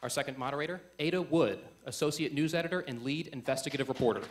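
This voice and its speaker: A man's voice: medium pitch, fast talker, heavy background noise. Young man hosting a formal debate. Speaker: host of a student election debate. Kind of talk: formal speech introducing a debate. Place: on stage before a live audience. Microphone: event microphone.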